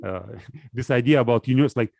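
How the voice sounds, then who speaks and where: Halting man. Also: mid-range voice, darkish tone, no room sound, studio quality. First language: Russian. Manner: hesitant, halting, searching for words. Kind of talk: casual philosophical discussion.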